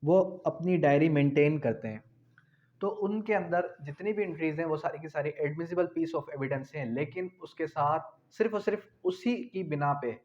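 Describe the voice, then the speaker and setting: A man's voice: a little high, a little mellow. A man with a Pakistani accent giving an explanatory law lecture in a didactic way, repeating key phrases for emphasis. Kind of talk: explanatory lecture, reading out legal articles and explaining them. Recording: dull, lo-fi audio.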